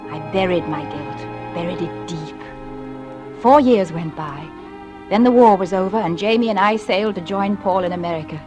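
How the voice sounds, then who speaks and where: A woman's voice: on the low side, moderate pace, darkish tone, faint music behind, natural voice. A woman playing an anxious, fearful young mother in 1940s radio drama, with an earnest, tremulous, dramatic delivery on an old recording. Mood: anxious confiding. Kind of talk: 1940s radio drama acting, confiding narration.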